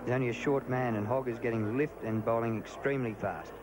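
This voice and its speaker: A man calling ball-by-ball cricket commentary, lively and rising on big moments. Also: medium pitch, brisk. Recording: noisy old broadcast tape.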